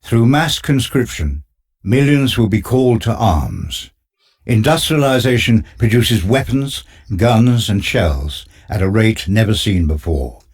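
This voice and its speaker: A man's voice: lowish voice, lively intonation, a little slow, a little mellow, slightly rough. A man giving solemn, dramatic scripted narration for a war game's opening. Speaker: game intro narrator. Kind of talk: scripted documentary-style narration.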